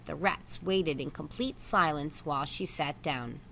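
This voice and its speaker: Low-pitched woman reading a children's story. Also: measured pace, vocal fry, a bit bright, slight background noise. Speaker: story reader. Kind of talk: scripted read-aloud of a children's novel.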